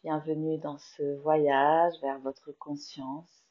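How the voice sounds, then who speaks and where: A woman with a French accent slowly guiding a yoga nidra meditation in a soft, soothing voice. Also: low-pitched, level tone, dull audio. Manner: slow, soft, soothing guidance with long pauses. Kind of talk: guided meditation.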